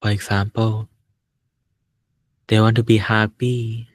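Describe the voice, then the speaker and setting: A man leading a guided meditation as a teacher, speaking calmly and without hurry, in short phrases with long pauses. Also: on the low side, dry recording.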